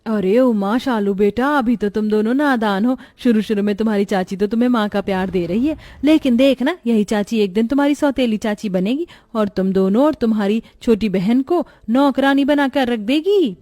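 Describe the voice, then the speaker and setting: A woman's voice: on the high side, moderate pace, hardly any pauses, dark tone, natural voice. A woman voicing a sly neighbor aunt who turns children against their carer in a cartoon story. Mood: scheming. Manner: sly, coaxing. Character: gossiping scheming neighbor aunt.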